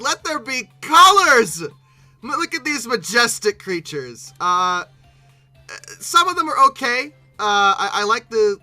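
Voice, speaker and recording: A YouTube host giving casual, humorous reaction commentary in a halting, bemused way, often repeating words. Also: mid-range voice, sharp tone.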